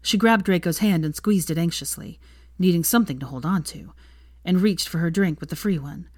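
A woman's voice: fairly low pitch, a little fast, a little mellow, no echo, clean studio sound. A woman reading fiction aloud as an audiobook narrator, in scripted storytelling style.

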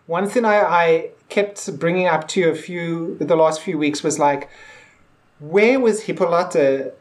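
A man co-hosting a podcast, chatting casually and haltingly, repeating words as he forms his thoughts. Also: a little high. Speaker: podcast co-host. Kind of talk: casual episode discussion.

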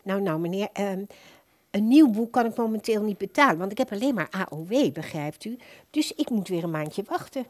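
A woman's voice: medium pitch, animated, brisk, darkish tone, close to an everyday voice. An actress playing an older, thrifty and easily puzzled woman customer in a radio play, polite, hesitant and rambling.

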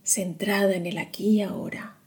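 A woman guiding a meditation in a soothing, hushed manner, leaving long pauses between short phrases. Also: medium pitch, steady tone. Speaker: meditation guide. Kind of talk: slow guided meditation narration.

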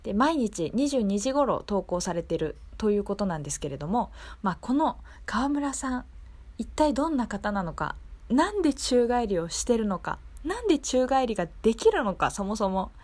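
A woman presents a local radio interview in a mellow, fairly high voice. She is polite and keen, talking appreciatively to her guest in a conversational way. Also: big pitch swings, normal speed.

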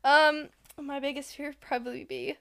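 A woman's voice: sits high, level tone, moderate pace, vocal fry, sharp tone. A teenage woman co-hosting a casual chat with a friend, teasing and giggling as she reads out questions. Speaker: podcast co-host.

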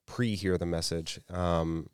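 A man's voice: sits low, steady tone, takes it slowly, clean tone, no echo, clean studio sound. A man in his twenties co-hosting a podcast, earnest and rambling, with frequent restarts. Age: 20s. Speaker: podcast co-host. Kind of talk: casual podcast conversation.

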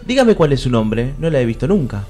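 An actor with an Argentine accent playing a crude, lovestruck fairy-tale prince in a comic radio play, mock-courtly and slipping into street slang. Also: male voice, medium pitch, very animated, brisk, dark tone, soft background music, lightly acted. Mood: courting. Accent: Argentine, Rioplatense. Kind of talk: comic radio theatre parody.

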